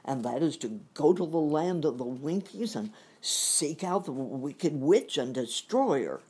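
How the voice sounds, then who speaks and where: A woman voicing a big, timid male lion in a storybook reading, in a loud, full and earnest way. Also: low voice, lively intonation, a little slow, husky, heavily acted.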